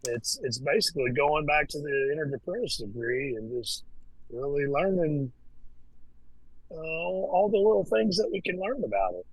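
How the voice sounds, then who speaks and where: Relaxed man with very dry sound. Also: fairly high pitch, moderate pace, husky, dry recording, poor audio. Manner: relaxed, hesitant, with many fillers and restarts. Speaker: podcast guest, Freemason. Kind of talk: casual conversation.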